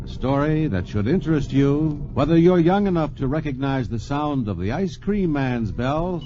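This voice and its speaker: A man's voice: medium pitch, dark tone. A man hosting and narrating a science radio story in scripted narration with a genial, explanatory manner, on an old transcription recording. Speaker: narrator, host. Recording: dull transcription disc recording with music.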